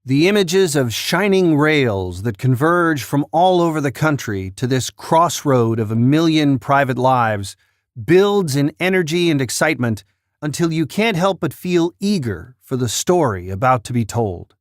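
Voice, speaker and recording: A man's voice: a little high, expressive, unhurried, no room sound, clean studio sound. A man hosting a countdown with scripted narration. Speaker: countdown host.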